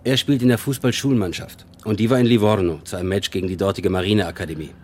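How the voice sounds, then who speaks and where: A man's voice: on the low side, fairly even intonation, fast talker, close to an everyday voice. An actor playing a matter-of-fact police officer in a radio play, giving a plain, factual report to his superior.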